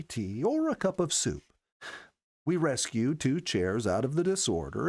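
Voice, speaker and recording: A man reading a story as a scripted narration, in an expressive storyteller's manner. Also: mid-range voice, no echo. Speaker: storyteller, show regular.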